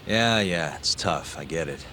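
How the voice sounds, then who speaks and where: Very deep, laconic tough guy. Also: lively intonation, measured pace, bright, forceful tone, exaggerated voice. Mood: dry, resigned. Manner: laconic, dry, offhand. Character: cool, impatient, laconic male tough guy, a plain-spoken skeptic. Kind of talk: English video game dub, dramatic dialogue. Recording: clear background music under the voice.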